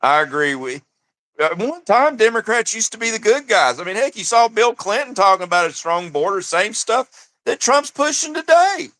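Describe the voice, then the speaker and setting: A man's voice: high-pitched, big pitch swings, moderate pace, bright, forceful tone, no room sound. A man co-hosting a livestream, chatting bluntly with crude jokes and frequent laughter. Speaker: livestream co-host. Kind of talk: casual joking commentary.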